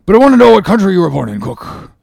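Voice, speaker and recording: Bossy, teasing male ship's officer, performed by a man reading a storybook, very lively and husky. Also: high voice, racing, no room sound, pitched above the performer's own voice, heavily acted. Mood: bossy, needling. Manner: animated, needling, mock-solemn interrogation. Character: bossy, mocking sailor officer lecturing his cook. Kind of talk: audiobook character reading.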